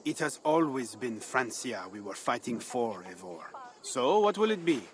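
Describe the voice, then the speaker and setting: A man's voice: medium pitch, big pitch swings, moderate pace, natural voice. A man playing a dry, knowing secret agent. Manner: dry and matter-of-fact. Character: wry male agent of a secret order, calm and knowing. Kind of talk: game cutscene dialogue.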